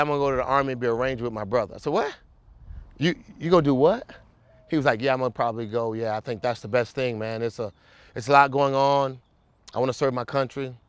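A man's voice: medium pitch, dry recording. A middle-aged man telling a casual anecdote, informally acting out the dialogue. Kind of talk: animated anecdote in casual interview.

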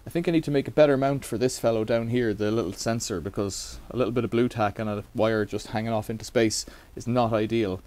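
A man's voice: mid-range voice, fast, few pauses. Chatty man in a bike workshop. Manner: relaxed, rambling, wry asides. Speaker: bike repair vlogger. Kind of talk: casual how-to demonstration. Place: cluttered bike workshop indoors.

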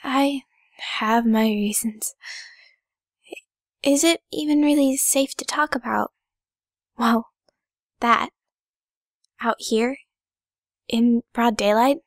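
A woman's voice: on the high side, takes it slowly, long pauses, dry recording, studio quality. A woman playing a timid, sweet young girl in an audio drama, speaking with nervous hesitation.

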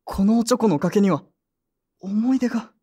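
A woman's voice: mid-range voice, moderate pace, mellow, husky, close to an everyday voice. A woman playing an earnest, sentimental young man who grieves and clings to memories, polite and emotional, in an audio drama. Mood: earnest, wistful. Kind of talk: Japanese audio drama acting.

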